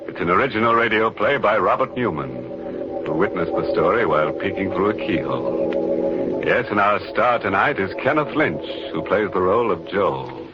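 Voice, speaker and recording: An American man playing a macabre, joking horror host in a theatrical, sardonic 1940s radio style. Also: low-pitched. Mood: ominous. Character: macabre, joking male ghoulish host of a haunted sanctum. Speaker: host of a radio mystery series. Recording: muffled vintage 1940s radio recording, music.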